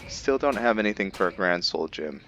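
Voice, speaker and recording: A man's voice: deep voice, animated, fairly quick, a bit bright. A man giving casual gameplay commentary, thinking aloud as he plays. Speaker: gamer narrating own roleplay playthrough. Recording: slightly muffled, game music behind. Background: video game music.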